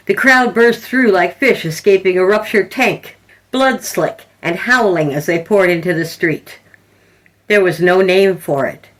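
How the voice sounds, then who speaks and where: A woman reading scripted horror fiction as a podcast narrator, in a measured, dramatic storytelling manner. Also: medium pitch, poor audio.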